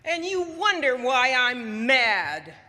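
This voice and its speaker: Lively, slow-speaking woman in a hall. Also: sits high. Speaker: reader of short personal statements. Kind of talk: scripted recitation of short statements. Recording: long hall reverb.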